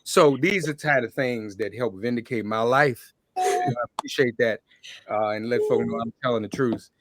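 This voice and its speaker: A man's voice: medium pitch, normal speed, background noise. An American man hosting a conversational interview. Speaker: show host.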